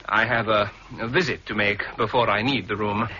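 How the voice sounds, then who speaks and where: An actor playing Doctor Lawrence, a hesitant, secretive newcomer, in a 1960s radio drama. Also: male voice, on the low side, steady tone, normal speed, pushed and bright. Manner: hesitant, evasive, clipped. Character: Doctor Lawrence, wary, secretive newly arrived man. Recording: dull lo-fi archive radio recording, faint hiss.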